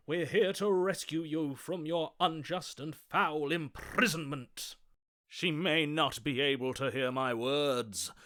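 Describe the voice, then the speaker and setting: An actor voicing a lovestruck, chivalrous romantic in a video game, with florid, ardent delivery. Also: male voice, mid-range voice, bright, forceful tone. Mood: adoring, ardent. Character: lovestruck, theatrical romantic hero, grandiose and chivalrous.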